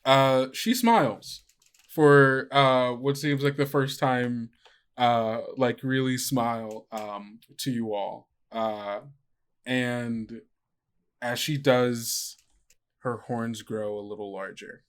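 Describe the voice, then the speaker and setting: A man acting as game master, narrating an improvised tabletop game in a halting, conversational way. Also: mid-range voice, fairly even intonation, clear, resonant tone.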